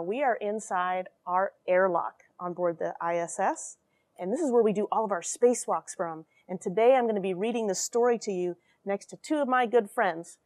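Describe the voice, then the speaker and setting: A woman in her forties reading and explaining a story to children in an animated, questioning way from a small airlock. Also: mid-range voice, no room sound. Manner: animated, expressive, addresses young listeners with questions. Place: small cramped metal space station airlock.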